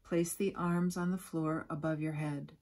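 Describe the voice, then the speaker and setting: Woman, meditation guide, husky breathy voice, very slow, soothing, gentle instructions, long pauses. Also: low-pitched, steady tone. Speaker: yoga nidra guide.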